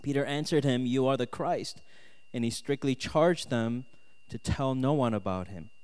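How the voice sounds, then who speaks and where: A man's voice: mid-range voice, moderate pace, a little mellow, smooth. A man from South Korea who moved to the US as a child, preaching to students in a conversational sermon style, often checking in with his listeners. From South Korea, moved to the US at ten. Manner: conversational, punctuated with "right?" and "okay?". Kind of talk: sermon with scripture reading and personal testimony. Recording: echoey hall with long reverb.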